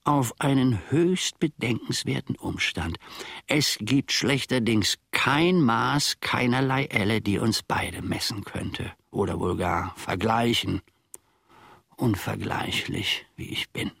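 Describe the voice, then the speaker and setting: An actor playing a grumbling, self-important manservant who muses pedantically to himself in a German radio play. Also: male voice, mid-range voice, lively intonation, takes it slowly, vocal fry, fairly bright tone, breathy edge, no echo, studio quality, exaggerated voice. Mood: smug, pedantic. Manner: ruminating, pedantic, self-satisfied.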